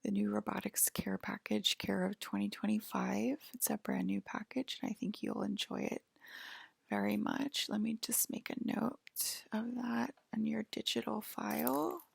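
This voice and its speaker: A woman performs a hushed ASMR roleplay in a breathy, whispery voice with very heavy creak. She speaks slowly and haltingly, soothing the listener like a patient clerk. The sound is close and dry. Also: mid-range voice, fairly even intonation, dry recording.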